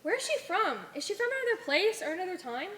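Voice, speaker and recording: A woman's voice: extremely high pitch, big pitch swings, fast talker, fairly bright tone, full character voice. A young woman playing an earnest, questioning follower in an amateur stage play, with a hesitant, searching manner. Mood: troubled. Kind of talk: amateur one-act stage play acting. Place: theater stage.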